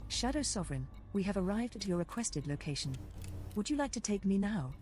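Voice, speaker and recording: A woman's voice: mid-range voice, very animated, a little fast, darkish tone, natural voice. A woman playing a flirty, obliging spaceship AI assistant, polite and coy, in a scripted sci-fi game.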